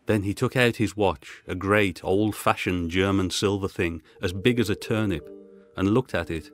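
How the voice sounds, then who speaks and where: A man reading a Gothic short story as a scripted audiobook narration, with music behind him. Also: low-pitched.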